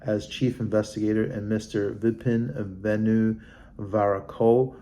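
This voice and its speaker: Man, lowish pitch, creaky voice, halting report reading, informal asides, background noise. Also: level tone, mellow. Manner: halting, stumbling over names and terms. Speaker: vlog host. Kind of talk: reading a report aloud with off-the-cuff comments.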